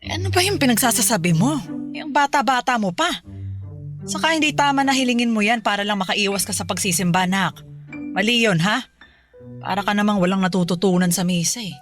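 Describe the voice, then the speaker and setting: Actress doing a filipino radio drama mama, devout, naggy and instructive, mid pitched, soft music under it. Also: female voice, lively intonation, brisk, lightly acted. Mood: firm scolding. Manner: chiding, instructive, motherly. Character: devout scolding mother of a teenage daughter.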